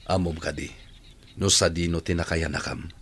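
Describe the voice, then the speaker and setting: A man's voice: deep voice, level tone, moderate pace, creaky, fairly bright tone, slight background noise, voice pushed down. A man playing a troubled older male boss in a radio drama. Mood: troubled, pleading.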